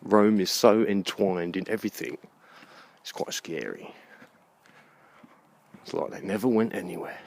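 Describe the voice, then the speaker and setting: A man thinking aloud in a halting, musing way, rambling like a vlogger on a walk. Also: low-pitched, darkish tone. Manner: musing, halting, pausing between phrases. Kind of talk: rambling spoken-thought walking vlog about books. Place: outdoors, walking in a park. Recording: outdoor walking recording with clear background noise.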